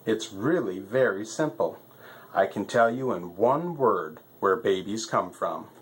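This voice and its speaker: A man's voice: fairly low pitch, lively intonation, a little slow, a little hiss or hum. Man playing a fussy, rambling stork postmaster. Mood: confident. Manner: fussy, rambling, reciting rhyming lists. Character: busy, boastful, talkative male stork postmaster.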